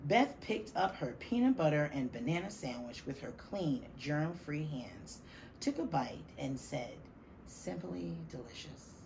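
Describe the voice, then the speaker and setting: A middle-aged woman reading a children's picture book aloud, deliberately and with dramatic stress on key words. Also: deep voice, big pitch swings. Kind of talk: expressive children's storybook reading. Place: small classroom, indoors. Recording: faint room noise behind the voice.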